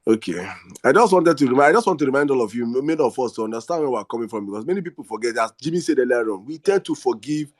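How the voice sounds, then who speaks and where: A man's voice: mid-range voice, lively intonation, very fast, no echo, studio quality. A man with a Nigerian accent hosting an online discussion, speaking in an emphatic, repetitive, rhetorical way as he gives impassioned political commentary. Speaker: host of an online audio discussion space.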